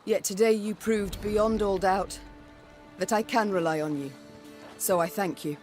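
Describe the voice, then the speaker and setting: A woman acting as a proud warrior queen from a fantasy game. She is stern and blunt, with a formal, clipped delivery at a medium pitch. Faint music plays behind her. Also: fairly even intonation, unhurried, darkish tone, lightly acted.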